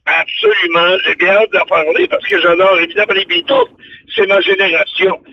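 Distant, dull-sounding older Quebec man, high-voiced. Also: fairly even intonation, normal speed, a little hiss or hum. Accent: Quebec French. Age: 60s or older. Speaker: radio show host. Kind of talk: casual reminiscing chat on radio.

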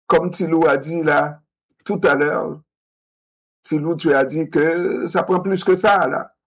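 A man in a discussion, talking in an animated way, repeating himself and asking rhetorical questions. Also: on the high side, slow, slightly rough.

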